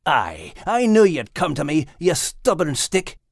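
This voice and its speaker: A man's voice: on the high side, wildly swooping pitch, unhurried, creaky, no echo, studio quality, full character voice. A man voicing a small, feisty, anxious Scottish dog in a storybook, exclaiming and fretting to himself. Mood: triumphant. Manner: exclamatory, strained, talks to himself.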